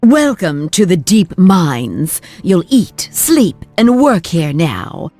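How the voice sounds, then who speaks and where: An actress playing a haughty, cruel mistress of the mines in a video game, imperious and threatening. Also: female voice, lowish voice, big pitch swings, takes it slowly, warm tone, exaggerated voice. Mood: gloating menace. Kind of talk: video game cutscene acting. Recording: music behind.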